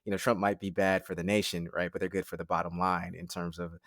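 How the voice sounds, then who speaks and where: A man's voice: sits low, flat delivery, fast talker, fairly bright tone, no room sound, clean studio sound. A man in his forties co-hosting a podcast, asking long, rambling questions with fillers and easy laughs. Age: 40s. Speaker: podcast co-host. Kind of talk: casual podcast interview.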